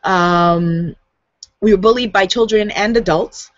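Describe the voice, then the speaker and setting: A woman's voice: medium pitch, measured pace, no echo. A woman author, born in the US, with a light Guyanese accent, talking casually to camera on a video hangout and laughing often. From United States. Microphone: webcam on a video hangout. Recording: dull, dry home recording.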